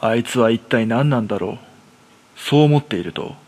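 A man as a reclusive thirtysomething narrator, rough-spoken. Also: mid-range voice, lively intonation, normal speed, darkish tone, natural voice. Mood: frightened. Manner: colloquial, rough masculine storytelling. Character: reclusive unemployed man in his thirties, jittery, self-deprecating. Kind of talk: first-person horror story narration, radio drama.

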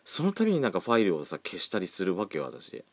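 Chatty low-voiced man hosting a podcast. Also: huge pitch swings, a little fast, no echo. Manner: chatty, campy feminine phrasing. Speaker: podcast host. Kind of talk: casual solo chat. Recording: faint background music.

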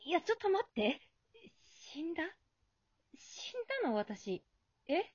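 A woman's voice: extremely high pitch, very animated, a little slow, no room sound, highs cut off, full character voice. A woman playing a confused, blunt girl lost in the afterlife, giving incredulous retorts in an amateur radio drama. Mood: confused, exasperated.

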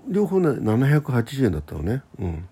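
A man's voice: medium pitch, huge pitch swings, moderate pace, dark tone, husky. A man hosting a casual solo radio talk in a rambling, conversational manner. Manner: rambling, conversational, acts out quoted exchanges.

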